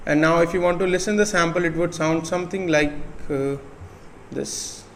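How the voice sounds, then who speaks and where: A man with an Indian accent presenting a step-by-step software tutorial. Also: fairly high pitch, fairly even intonation, normal speed, vocal fry, slight background noise.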